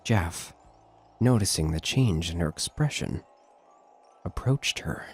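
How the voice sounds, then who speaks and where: A man's voice: low voice, expressive, slow pace, slightly rough, faint music behind. A man narrating a scripted horror story as the narrator of an audio drama.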